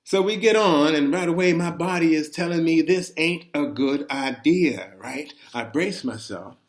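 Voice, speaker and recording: A man reading a first-person story in a conversational, folksy way, animated and full of asides to the listener. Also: a little high, unhurried. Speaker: reader of a personal chronicle.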